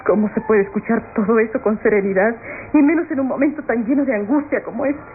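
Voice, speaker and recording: An actress with a Latin American accent playing an anguished, gentle, pious young woman in a classic radionovela, speaking with measured, melodramatic sorrow. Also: on the high side, background noise, lightly acted. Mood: anguished, reluctant. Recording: tinny old radio drama recording. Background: dramatic music.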